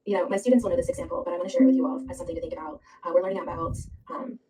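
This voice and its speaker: A woman hosting a live online art class, chatting softly and answering viewers while she draws. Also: medium pitch, wildly swooping pitch, racing, slight background noise. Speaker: host and art instructor. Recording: roomy, distant live-stream audio.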